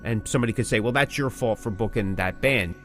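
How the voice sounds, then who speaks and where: A man's voice: on the low side, quick pace, fairly bright tone, soft background music. A man co-hosting a podcast, explaining things in a casual, emphatic, conversational way. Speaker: podcast co-host and working band musician.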